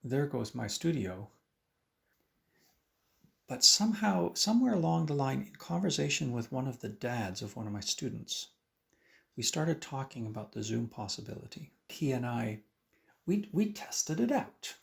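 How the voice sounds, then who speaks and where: Male violin teacher, interview talk, gravelly edge, swinging pitch. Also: normal speed. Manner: animated, acts out his own past remarks, frequent restarts.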